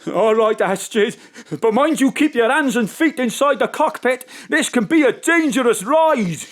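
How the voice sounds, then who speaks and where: A man's voice: extremely high pitch, expressive, moderate pace. A man playing a gullible, tipsy pub drinker in a comic audio drama, slurring and befuddled. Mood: trusting, puzzled.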